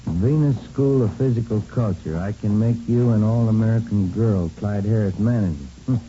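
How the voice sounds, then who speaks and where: A man acting a low-key detective sidekick in an old radio police drama. His voice is very dark and lowish, polite and casual. The recording is dull and dated. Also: moderate pace, close to an everyday voice. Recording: muffled vintage radio recording.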